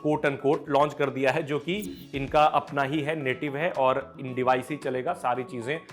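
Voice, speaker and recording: A man's voice: medium pitch, fairly even intonation, unhurried. A man with an Indian accent presenting tech news as an informal, unscripted explainer, mixing English words into his speech. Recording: music playing behind the voice. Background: background music.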